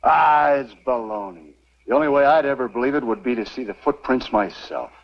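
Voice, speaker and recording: A man's voice: mid-range voice, fairly quick, lightly acted. An actor playing a big, boastful, scornful mining camp strongman with a cocky, dismissive manner in a vintage Western radio drama. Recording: muffled, noisy old radio recording.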